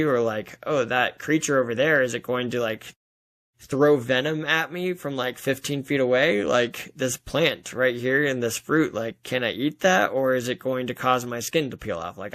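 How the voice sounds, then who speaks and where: A man in his thirties chatting casually as an interview guest, acting out bits of imagined dialogue in an animated, informal way. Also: medium pitch. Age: 30s. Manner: animated storytelling, acts out imagined dialogue, frequent "like".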